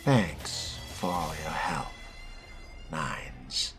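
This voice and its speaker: Casting: male player as inquisitive young vampire newcomer in a game, very low and drawling slowly, cool and low-key. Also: animated, music in the background, heavily acted. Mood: dry, curious. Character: laid-back, curious male fledgling vampire newcomer. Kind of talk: role-play of a video game character.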